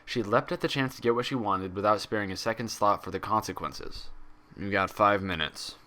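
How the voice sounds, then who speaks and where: A man's voice: fairly low pitch, brisk, bright, forceful tone. A man reading fan fiction aloud as a narrator, in a dramatic scripted storytelling style.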